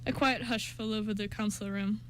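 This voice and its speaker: A woman's voice: mid-range voice, steady tone, brisk, a little hiss or hum, rough recording. A woman reading scripted narration for an amateur radio play, occasionally stumbling over words.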